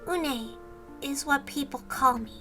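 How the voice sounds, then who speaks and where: A woman acts out a young girl survivor in a visual novel. Her voice is soft and quiet, fairly high, and held back. She comes across as shy, guarded and bleak, with music faintly behind her. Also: a little slow. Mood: guarded, subdued.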